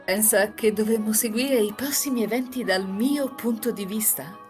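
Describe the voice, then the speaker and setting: A woman plays an enigmatic housemaid of an old villa, telling a visual novel story. Her delivery is formal and composed, at a medium pitch, with faint music underneath. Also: normal speed, a little mellow, lightly acted. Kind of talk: scripted visual novel narration.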